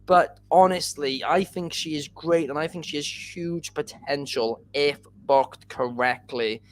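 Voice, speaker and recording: A man's voice: on the high side, measured pace, soft background music. A man from Wales, hosting a casual podcast and giving his opinions after reading out viewer questions. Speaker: podcast host.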